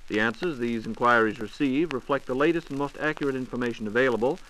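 Brisk American 1940s radio host, man. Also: medium pitch, fairly bright tone. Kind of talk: 1940s scripted radio hosting. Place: radio studio. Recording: noisy vintage 1940s radio transcription disc.

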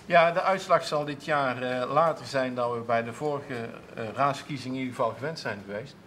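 A man's voice: mid-range voice, brisk, a bit bright, a little hiss or hum. A man in his thirties, a council clerk, answering interview questions in a TV studio with many restarts and fillers. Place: TV studio with seated audience, at a table.

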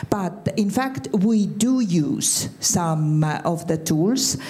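An older woman from Estonia with an Estonian accent, speaking as a conference panelist into a handheld mic, pausing often with fillers. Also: fairly low pitch, animated, warm tone. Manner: hesitant, many filler sounds. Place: large conference hall stage. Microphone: handheld microphone.

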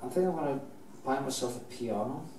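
A man's voice: medium pitch, animated, darkish tone. A middle-aged man with a Norwegian accent, chatting casually and rambling as he paints in his studio, heard from a camera mic some distance away. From Norway. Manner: slow, rambling, with many restarts and fillers. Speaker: painter teaching oil painting. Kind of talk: casual chat while painting. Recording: roomy and distant, faint hiss.

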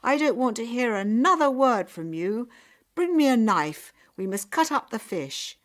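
A woman's voice: fairly high pitch, lively intonation, a little slow, pitched above the performer's own voice. A woman voicing a stern, bad-tempered father in a storybook tale, barking and impatient. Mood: shouting orders.

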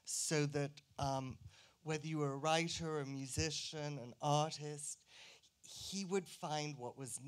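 A man's voice: on the high side, slow pace, clear, resonant tone. A man in his sixties reminiscing reflectively as a panelist on stage, using a handheld microphone. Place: museum auditorium stage.

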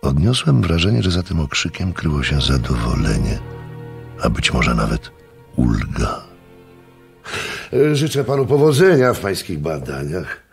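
An actor playing an inquisitive, courteous gentleman who recounts a strange case in a radio drama, with formal, polite speech. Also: rumbling low, huge pitch swings, measured pace, gravelly, soft background music, heavily acted. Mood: courteous, wry. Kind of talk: Polish radio drama acting and narration.

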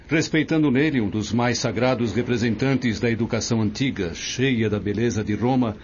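An actor plays a proud, affectionate Roman nobleman in a radio drama. His mid-pitched voice is formal and declamatory, with faint ambient noise behind. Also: darkish tone, natural voice.

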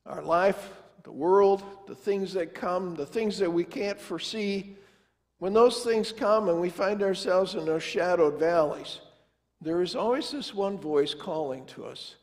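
A man's voice: sits high, unhurried. An older man preaching as pastor, telling a family story in a folksy, conversational way and acting out the dialogue. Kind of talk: storytelling sermon. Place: large church sanctuary, standing before the altar. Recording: long church reverb.

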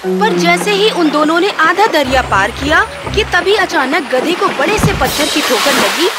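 A woman's voice: extremely high pitch, expressive, over loud music. A woman reading a scripted children's story as the narrator.

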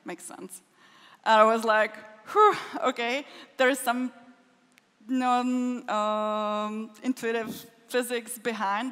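A woman's voice: fairly high pitch, fairly bright tone. A woman answering audience questions as a conference keynote speaker, in an informal, joking way. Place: large wooden concert hall with audience. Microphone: stage microphone. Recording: echoey concert hall.

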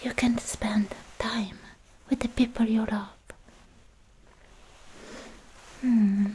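Casting: actress as tender anime-style demon slayer girlfriend, young adult woman, breathy whisper, extremely unhurried, mellow tone, ASMR roleplay. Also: mid-range voice, natural voice, rough recording. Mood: shy, tender. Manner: slow, halting, affectionate murmuring. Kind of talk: ASMR personal attention roleplay. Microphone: close microphone, whispering near it. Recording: faint hiss, mouth clicks, close-up.

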